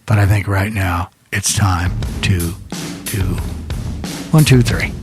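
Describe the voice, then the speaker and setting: A man's voice: deep voice, slow pace, faint music behind. A man hosting a casual radio show, chatting in a folksy, rambling way and often repeating himself.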